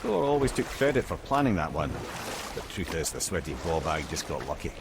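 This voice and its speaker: A man's voice: extremely low pitch, very animated, brisk, noisy background, heavily acted. A man voicing a wry, talkative lore-keeper in a video game, telling legends theatrically. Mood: wry, knowing.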